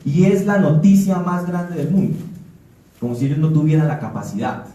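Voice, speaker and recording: A man's voice: fairly high pitch, normal speed, dark tone. A man from Colombia giving an earnest talk at a forum, often restarting and repeating words. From Colombia (Putumayo). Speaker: panel speaker. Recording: echoey room, speaker far from mic.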